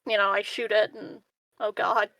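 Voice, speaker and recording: A woman chatting casually as a player in a tabletop roleplaying game, with some creak in her voice. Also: mid-range voice, little pitch movement, normal speed, sharp tone, no room sound, rough recording.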